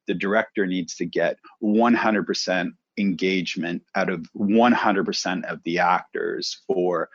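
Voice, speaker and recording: Middle-aged man from Canada, low husky voice, dull dry sound, long winding sentences. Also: fairly even intonation, measured pace, few pauses, no room sound. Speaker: guest, presenter coach. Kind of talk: relaxed storytelling.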